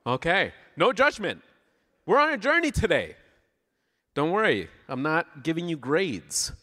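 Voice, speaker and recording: A high-voiced Canadian man preaches informally with a bright, forceful delivery. He is playful and conversational, often putting questions to the congregation. His voice rings out through a hall with long reverb. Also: very animated, a little slow. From Southern Ontario, Canada. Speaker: guest preacher. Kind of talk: informal sermon with audience interaction. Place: large church hall, speaking to a congregation.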